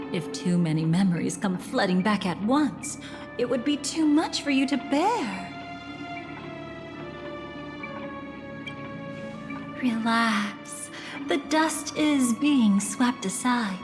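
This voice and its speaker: Enigmatic memory guide from a video game, unhurried n deliberate, big reverb, music under it. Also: female voice, fairly high pitch. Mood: ominous, hushed. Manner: measured, deliberate, cryptic. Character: enigmatic, composed woman guiding someone through buried memories.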